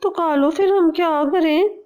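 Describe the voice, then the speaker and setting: A woman's voice: very high-pitched, a little slow, a little mellow, dull audio, voice pushed up. A woman voicing a possessive, scheming mother bird in a cartoon, with an anxious, calculating manner. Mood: worried.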